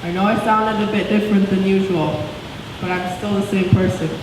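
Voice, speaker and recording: A woman's voice: mid-range voice, fairly even intonation, brisk, natural voice. Woman reading as a proud old grandmother, echoey hall. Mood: earnest. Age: older. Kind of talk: reading a book aloud. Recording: echoey hall, distant mic, some noise.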